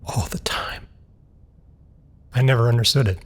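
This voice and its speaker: Devoted, stubborn man in grief played by an actor: husky, subdued, halting; audio drama. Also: medium pitch, lightly acted. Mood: grieving.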